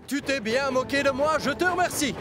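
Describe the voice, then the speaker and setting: A man's voice: very high voice, brisk, over music, a crowd in the background. A man voicing a proud, stubborn steam engine with a formal, emphatic manner in a children's cartoon dub. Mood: indignant.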